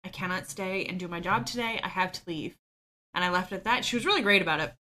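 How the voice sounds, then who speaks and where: Candid woman with a bright voice. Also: fairly low pitch, quick pace. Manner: open and self-deprecating, laughs easily, frequent ums. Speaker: podcast guest. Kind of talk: candid personal storytelling.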